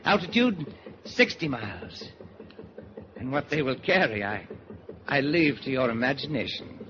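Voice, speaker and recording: A man acting an arrogant Nazi spy who pleads and bargains as a captive, in deliberate, halting, theatrical 1940s radio drama style. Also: medium pitch, expressive, fairly bright tone. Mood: boastful, menacing. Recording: muffled, noisy 1940s radio recording.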